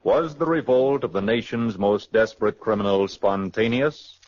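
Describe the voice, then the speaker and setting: A man's voice: on the low side, moderate pace, a little mellow. A man narrating a scripted case in dramatic 1940s radio style. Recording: dull 1940s radio recording.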